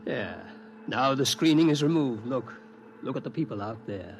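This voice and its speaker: An actor playing a nostalgic inventor who speaks in a wistful, hesitant way in a radio drama. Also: male voice, mid-range voice, expressive, normal speed, very noisy, lightly acted.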